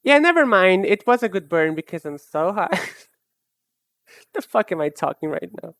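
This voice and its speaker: A young woman streamer chatting casually while she draws, rambling and self-mocking in a soft, low-key way. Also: sing-song, normal speed, creaky, dry recording. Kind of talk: casual chat while drawing live.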